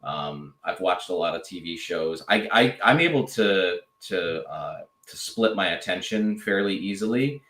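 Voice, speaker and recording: A man with a fairly low voice, a guest chatting casually on a podcast, rambling with many fillers and restarts. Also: expressive, measured pace, hardly any pauses, fairly bright tone, roomy sound. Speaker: podcast guest, draft analyst. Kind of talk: casual chat.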